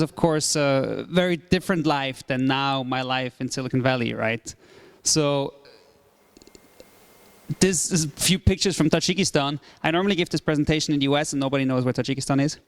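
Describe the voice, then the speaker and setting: A man from Switzerland, a startup CEO in his thirties, giving a relaxed presentation talk with many fillers and repeated phrases. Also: fairly high pitch. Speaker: startup CEO, guest speaker.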